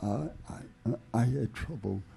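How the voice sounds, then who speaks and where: A man in his seventies introducing himself in a halting way, with many repeated starts. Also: mid-range voice, vocal fry, warm tone, husky. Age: 70s. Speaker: conference attendee. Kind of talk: self-introduction.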